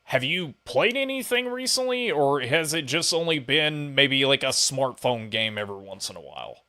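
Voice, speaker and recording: A man's voice: medium pitch, very animated, moderate pace, pushed and bright. A man from the United States hosting a podcast, chatting casually and interviewing his guest. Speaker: podcast host.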